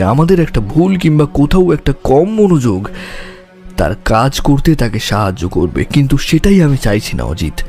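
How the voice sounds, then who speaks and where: Sharp male detective acted by a man, intense and deliberate, animated intonation, audio drama with music bed. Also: mid-range voice. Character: sharp, intense male detective reasoning through a murder case. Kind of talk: audio story acting.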